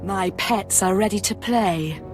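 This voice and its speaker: A woman's voice: mid-range voice, measured pace, lightly acted. A woman voicing a cold, taunting, imperious assassin and master of beasts in a video game. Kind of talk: video game dialogue acting. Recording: music behind the voice.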